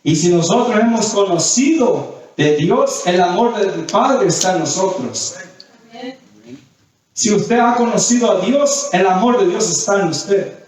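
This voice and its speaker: A man's voice: high-pitched, expressive, a little slow, a little mellow, a little hiss or hum. A man preaching a sermon in a didactic way, reading scripture, questioning the congregation and repeating phrases for emphasis. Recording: very echoey hall, distant mic.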